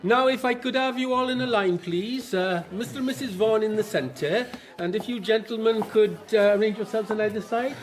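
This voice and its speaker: An actor playing a polite, fussy society photographer directing guests in a period radio drama. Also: male voice, high-pitched, brisk, few pauses, close to an everyday voice. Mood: brisk, courteous. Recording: music behind the voice.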